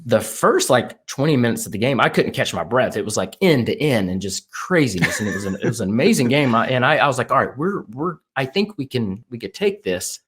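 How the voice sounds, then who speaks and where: A man's voice: mid-range voice, big pitch swings, husky. Eager man hosting a soccer chat. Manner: eager, talks in quick bursts, asks the guest questions. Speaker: podcast host.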